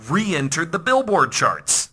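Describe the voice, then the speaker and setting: A man hosting a podcast, telling stories in a casual, animated, conversational way that often restarts mid-thought. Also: a little high, slow pace, gravelly, husky. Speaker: podcast host. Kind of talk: casual storytelling podcast talk.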